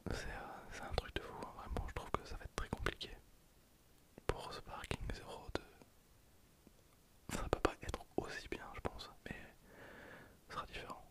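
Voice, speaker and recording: A young man gives casual, hesitant gameplay commentary in a breathy, unvoiced ASMR whisper. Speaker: ASMR gaming video host.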